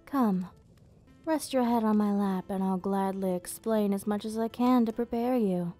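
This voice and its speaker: A woman playing a gentle, nostalgic vampire hostess in an ASMR roleplay, speaking softly in slightly formal, unhurried explanations. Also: mid-range voice, natural voice. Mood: welcoming, gentle. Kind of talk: ASMR roleplay, soft storytelling. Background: background music.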